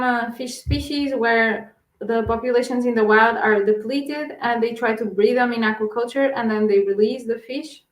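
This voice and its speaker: Portuguese accent woman answering questions, lots of ums, kinda high voice, roomy echo. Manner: hesitant, many fillers and restarts. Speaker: guest researcher.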